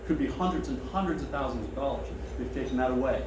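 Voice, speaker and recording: A man with a big, carrying voice talks to a room of attendees in a friendly, easygoing way and keeps inviting their questions. He is picked up from well back in a roomy, echoing dining room. Steady background noise hangs under his speech. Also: medium pitch, quick pace. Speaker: financial seminar presenter. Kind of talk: presentation to a seated audience. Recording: echoey room, mic far off, noisy.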